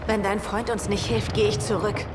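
A woman's voice: mid-range voice, level tone, moderate pace, lightly acted. A woman playing a tough, stubborn young fighter in a video game, blunt and determined. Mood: grim, defiant. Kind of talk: video game voice acting, German dub. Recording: loud game noise behind.

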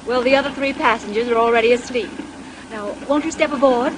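An actress playing a polite, efficient airline stewardess in 1930s radio style. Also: female voice, a little high, brisk, close to an everyday voice. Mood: courteous. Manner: courteous, businesslike. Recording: noisy old radio transcription.

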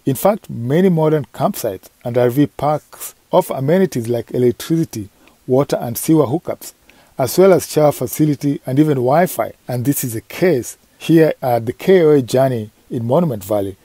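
Husky, mellow man narrating travel. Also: mid-range voice, animated, normal speed, no echo. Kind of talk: scripted voice-over narration. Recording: dry voice-over over music.

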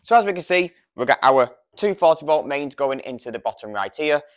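A man's voice: a little high, big pitch swings, brisk. A British man in his thirties giving an informal, step-by-step product demonstration in a practical, explanatory way, on a lapel mic. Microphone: lavalier lapel microphone.

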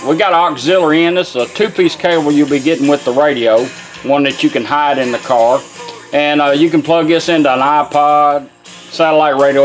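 Guy casually showing off a product, kinda higher voice, radio music playing behind him. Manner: folksy, plain-spoken. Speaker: radio restorer demonstrating a product. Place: indoor workbench demonstration. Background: car radio broadcasts and music.

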